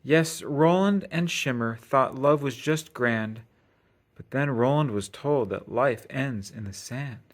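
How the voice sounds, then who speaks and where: A man's voice: medium pitch, slow pace. Man reading a children's storybook aloud. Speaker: storybook reader. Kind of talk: expressive children's read-aloud narration.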